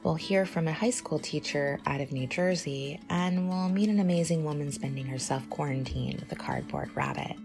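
Middle-aged woman hosting a podcast, low and a bit gravelly, earnest scripted read. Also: big pitch swings, normal speed, few pauses, music in the background. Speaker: podcast host.

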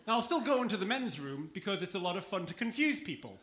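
A man's voice: sits high, very animated, fast talker, reverberant, noisy background. A man telling a wry story in a stand-up set. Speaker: stand-up comedian. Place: comedy club stage.

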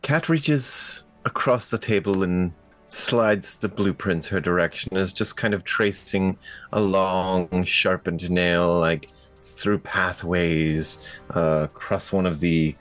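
A man playing a tabletop game, describing actions casually in a deliberate, halting way. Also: low voice, soft background music.

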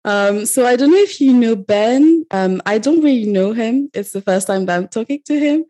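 A woman's voice: a little high, lively intonation, normal speed, warm tone. A woman hosting a podcast interview in a casual, informal, chatty conversational way. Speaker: podcast host.

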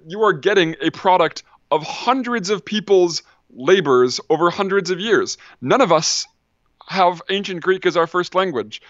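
A man's voice: sits high, a bit bright, no echo, lo-fi sound. A man, an ancient historian, talking as a podcast guest, thinking aloud with lots of ums and restarts. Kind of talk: casual academic discussion.

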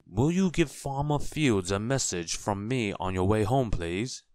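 A man's voice: fairly low pitch, expressive, measured pace, darkish tone, dry recording, clean studio sound. A man voicing a polite, matter-of-fact village policeman in a children's storybook. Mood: businesslike.

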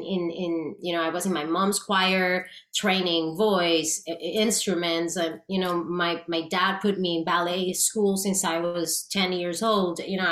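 A woman's voice: medium pitch, fairly bright tone. Chatty Puerto Rican woman, roomy echo. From Puerto Rico. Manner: chatty, with frequent "like" fillers and restarts. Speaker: guest performer. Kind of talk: casual interview storytelling.

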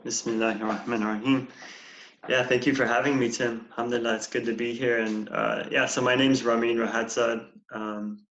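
A man in his forties, a counselor, telling his life story as a guest in a relaxed, meandering way with many fillers. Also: medium pitch, a little fast, a little hiss or hum. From United States. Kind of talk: casual interview. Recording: dull, echoey room sound.

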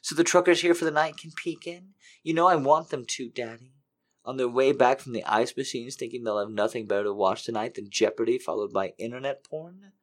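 Man reading as a pleading woman, breathy, half-whispered, submissive. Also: medium pitch, fairly even intonation, brisk, hardly any pauses, pitched above the performer's own voice, close to an everyday voice. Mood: desperate begging. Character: pleading aroused adult woman, needy and submissive.

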